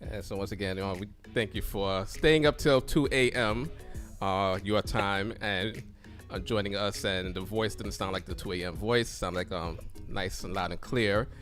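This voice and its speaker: A man with a low voice hosts a live radio interview. He talks informally and keeps recapping and thanking. Faint music plays behind him. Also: moderate pace, fairly bright tone. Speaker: radio show host.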